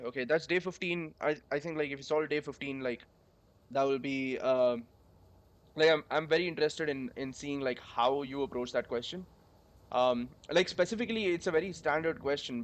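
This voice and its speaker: A young man with a sore throat from a cold, chatting casually and rambling while coding on a livestream. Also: medium pitch. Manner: rambling, chatty, many fillers.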